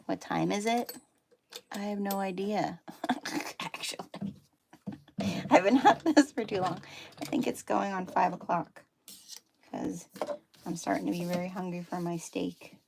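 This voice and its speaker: A woman with a Dutchy accent chats her way through a sewing demo in a relaxed, self-deprecating way. Her voice has a gravelly edge. A faint hum sits under the recording. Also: medium pitch, a little slow, pauses often. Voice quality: chatty, self-deprecating, talks while working. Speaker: sewing livestream host. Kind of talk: casual sewing demo chat.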